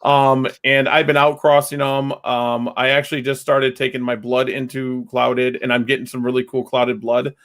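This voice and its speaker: A man hosting a casual online chat, talking loudly and rambling, laughing often, with his mic set about two feet away. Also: medium pitch, level tone, moderate pace, hardly any pauses.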